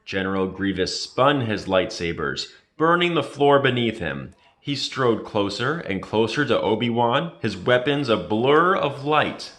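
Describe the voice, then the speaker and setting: Expressive male storybook reader. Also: medium pitch, unhurried, few pauses. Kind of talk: children's storybook read-aloud.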